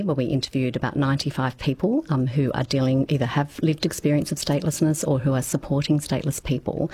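A woman law professor giving expert commentary, clear and explanatory. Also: sits low, dark tone, a little hiss or hum.

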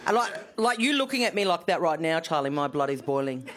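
A woman's voice: deep voice, big pitch swings, brisk, very noisy. A woman comedian bantering sardonically as a panellist on a comedy quiz.

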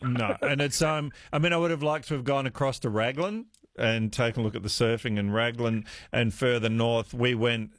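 A man hosting a talkback radio quiz, chatty and quick to laugh. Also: mid-range voice, dry recording. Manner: animated, chatty, laughing easily. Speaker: radio host.

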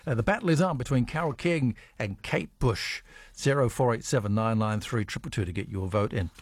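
A man hosting a casual radio interview, chatty with quick affirmations. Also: medium pitch, no room sound. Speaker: radio host.

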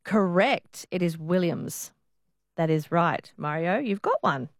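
A woman's voice: on the low side, lively intonation, takes it slowly, no room sound, studio quality. A woman hosting a call-in radio quiz, chatting with callers and laughing often.

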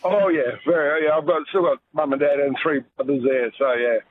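A man calling into a radio show, friendly and a little halting as he talks. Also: a little high, steady tone. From New Zealand. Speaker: caller. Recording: tinny phone line.

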